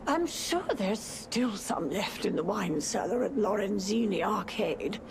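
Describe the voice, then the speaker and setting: A woman playing a jumpy, grumbling elderly lady with a taste for drink in a video game, her voice creaky and breathy at the ends of phrases. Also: mid-range voice. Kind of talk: video game character acting. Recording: clear noise and ambience behind.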